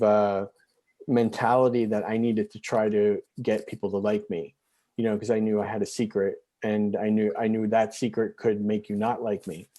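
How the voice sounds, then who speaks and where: A man in his forties telling his own life story as an interview guest, halting, with frequent restarts and fillers. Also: fairly low pitch, mellow. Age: 40s. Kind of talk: candid personal storytelling.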